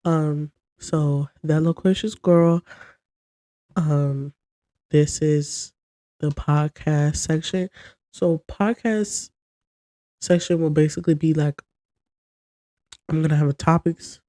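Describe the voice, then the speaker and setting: A young woman chats loosely and rambles on her first podcast episode, her voice stuffy and congested from a cold. She talks slowly in a mellow, low-key tone. The recording is dry and close. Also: fairly even intonation, no room sound. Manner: chatty and rambling, talking to camera. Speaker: podcast host. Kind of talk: casual solo chat.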